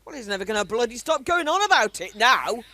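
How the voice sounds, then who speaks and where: A woman's voice: fairly high pitch, very animated, fairly quick, a crowd in the background. A woman playing a prim, nagging English wartime wife and mother in an amateur radio play. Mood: sardonic. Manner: indignant, nagging.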